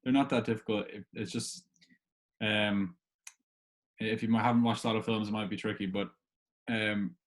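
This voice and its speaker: A man's voice: lowish voice, steady tone, normal speed, long pauses. A man hosting a casual interview chat, easygoing and a little tentative. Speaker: podcast host.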